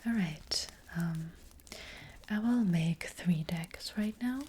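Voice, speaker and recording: A woman voicing a gentle witch fortune teller in a soft ASMR roleplay, whispering breathily and hesitantly, close to the microphone. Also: fairly low pitch, a little hiss or hum. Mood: gentle, attentive. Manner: gentle, hesitant, slow and reassuring.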